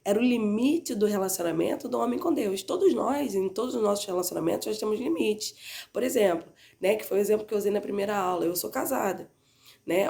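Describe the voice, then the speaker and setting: A woman teaching a Bible class, stuffy and slightly hoarse from a cold, with a nasal tone, talking conversationally and often adding "né?" at the end of her sentences. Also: medium pitch, warm tone. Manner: conversational, frequently adds "né?" tag questions.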